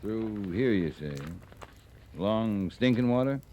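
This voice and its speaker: An actor playing a terse, dry-humored cavalry captain in a 1950s radio western, with a gruff, blunt manner. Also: male voice, lowish voice, expressive, a little slow, dull audio. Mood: firm, measured. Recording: old radio recording with background noise.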